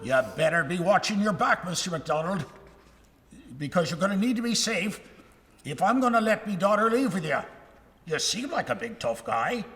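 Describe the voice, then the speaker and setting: A blunt, folksy father played by a man in a live radio melodrama, wary and insinuating. His voice is forceful and fairly high, with lively swings, in a reverberant hall. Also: moderate pace. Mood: warning. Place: live stage in a ballroom.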